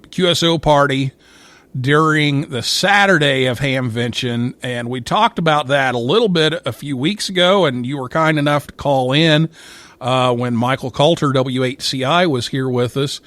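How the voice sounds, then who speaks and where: A man's voice: on the high side, a bit bright, no echo. Dry-sounding man hosting a radio talk show. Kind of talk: conversational live radio interview.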